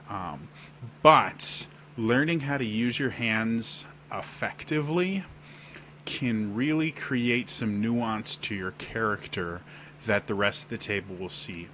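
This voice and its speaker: A man with a deep voice giving casual on-camera advice about role-playing, demonstrating gestures as he explains. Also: pushed and bright, slight background noise. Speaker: role-playing tips video host. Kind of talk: casual instructional talk to camera. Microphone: webcam setup at desk.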